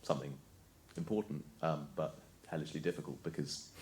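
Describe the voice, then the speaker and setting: A young man speaks off the cuff in an academic panel discussion. His voice is extremely low, and he tentatively revises his phrasing. The recording carries slight background noise. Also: fairly even intonation, fairly quick, a little mellow, poor audio. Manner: tentative, qualifying and correcting himself. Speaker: student panelist.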